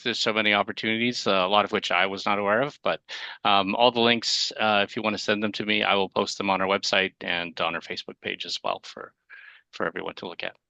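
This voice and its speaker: A man chairs a community meeting on a video call, his voice bright and crisp against a dry, close sound. He speaks loosely and amiably, dotting his sentences with "uh" and "um.". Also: fairly low pitch, no echo, highs cut off. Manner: friendly, loose, frequent "uh" fillers. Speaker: neighborhood meeting host.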